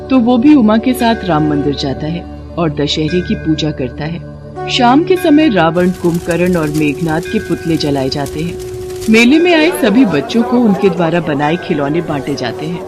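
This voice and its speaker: A woman's voice: on the low side, very animated, moderate pace, music in the background. A woman narrating a scripted devotional story.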